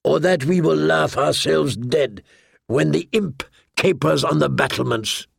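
A man's voice: fairly high pitch, slow pace, creaky, husky, dry recording, studio quality, heavily acted. A man voicing a boastful, lewd, wheedling pirate captain in an audiobook, with a theatrical, jovial manner and questioning tags. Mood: jovial, scornful. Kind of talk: audiobook character voice.

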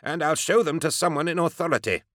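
A man's voice: mid-range voice, animated, moderate pace, fairly bright tone, no room sound, clean studio sound, voice pushed up, lightly acted. A man voicing a haughty, querulous academic, pompous and imperious, in an audiobook. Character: haughty, querulous male academic doctor, piqued and self-important.

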